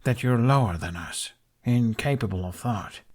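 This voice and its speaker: A man's voice: mid-range voice, normal speed, a little mellow, lightly acted. Dejected, wry young man, read by a narrator. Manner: mumbling, sarcastic, downcast. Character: self-deprecating young human male, dejected and wry.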